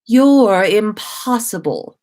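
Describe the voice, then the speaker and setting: Woman playing a proud young wizard father, fond, dry, mock-stern, drawn-out words, storybook reading. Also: medium pitch, animated, darkish tone, voice pushed up. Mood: mock disappointed.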